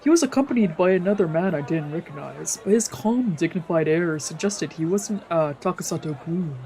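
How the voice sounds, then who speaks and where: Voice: medium pitch, animated, brisk, mellow. A streamer narrating a visual novel aloud in a scripted storytelling style. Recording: music behind.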